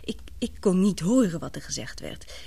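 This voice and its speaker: Young radio-play heroine, husky breathy voice, anxious yet brave, tender, dramatic hesitations, very dry studio sound. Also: female voice, fairly low pitch, animated, moderate pace, dark tone, no echo, heavily acted. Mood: tense, confiding.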